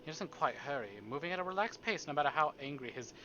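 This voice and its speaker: A man's voice: fairly high pitch, animated, quick pace, sharp tone, lo-fi sound, a little hiss or hum. A man live streaming, reading a story aloud and adding playful, exclamatory asides to his chat. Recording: music behind the voice.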